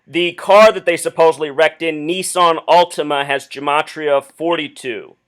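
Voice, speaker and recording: A man speaking as a caller on a phone call, earnestly explaining his theory in an insistent, deliberate, questioning way. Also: on the high side, steady tone, a bit bright, clean tone. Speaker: caller phoning the police with a theory.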